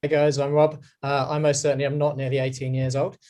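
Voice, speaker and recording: A man's voice: medium pitch, flat delivery, fast talker, darkish tone. A man football project manager introducing himself on a video panel with a dry joke. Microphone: video call.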